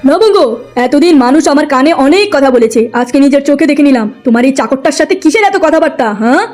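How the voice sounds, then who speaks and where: A woman plays a spoilt, jealous young rich man in a cartoon folk tale. The voice is very high and soft-edged rather than bright, scolding with open contempt. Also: lively intonation, quick pace, faint music behind. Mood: jealous, scornful. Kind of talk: cartoon moral story acting.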